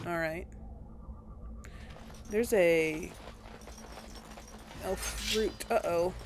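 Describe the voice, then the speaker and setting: Woman, young adult, casual gameplay commentary, thinking aloud, self-correcting, creaky voice, faint hiss behind. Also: lowish voice, extremely slow. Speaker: let's play host.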